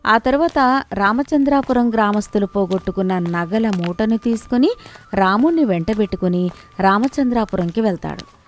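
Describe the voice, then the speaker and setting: A woman's voice: mid-range voice, normal speed, slight background noise. A woman reading a scripted moral story as its storyteller. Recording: music behind the voice.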